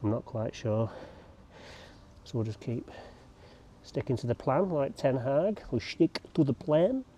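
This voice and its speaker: A man's voice: medium pitch, animated, a little slow, pauses often, warm tone, a little hiss or hum. A man chatting casually to camera while working outdoors, rambling with joking asides. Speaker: lawn care vlogger. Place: outdoors on a lawn.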